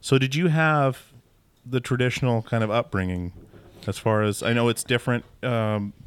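A man's voice: mid-range voice, moderate pace, clear, resonant tone. A man hosting a podcast, asking friendly, rambling questions in a casual interview, recorded indoors at a theater venue. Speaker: podcast host.

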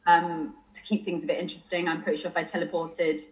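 A woman, a guest on a podcast, chatting casually in an animated, self-deprecating way. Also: fairly low pitch, fast talker, low-quality recording. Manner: animated storyteller, self-deprecating, laughs mid-sentence. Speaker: podcast guest, social media manager. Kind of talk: casual chat.

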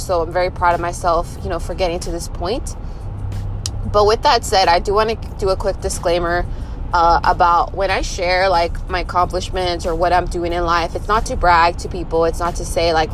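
Woman in her 30s doing a chill motivational podcast in the car, voice kinda low and quiet, music going in the background. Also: poor audio. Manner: soft and low-key, talking quietly so sleeping kids stay asleep. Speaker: podcast host. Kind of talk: casual motivational talk. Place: in a car on the road. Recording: music playing behind.

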